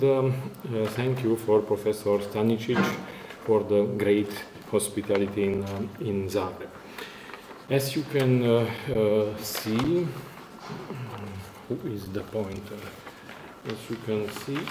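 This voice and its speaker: A man with a Romanian accent gives a formal conference presentation in non-native English, very hesitantly, searching for words. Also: fairly low pitch. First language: not English, which is a fourth working language. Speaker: academic conference speaker, university lecturer. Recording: echoey room, faint background noise.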